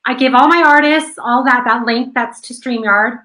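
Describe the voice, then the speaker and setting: A woman hosting an online radio interview, chatty and quick, laughing often. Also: fairly high pitch, bright, forceful tone. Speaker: host of an online radio show. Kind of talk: casual chat.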